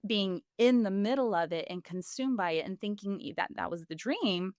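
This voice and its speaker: A woman's voice: mid-range voice, normal speed, a little mellow, no room sound, clean studio sound. A woman in her forties from Puerto Rico, a singer and voice coach, speaking candidly and reflectively in a podcast interview, with frequent fillers and laughs. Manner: earnest, reflective, laughs often, many fillers.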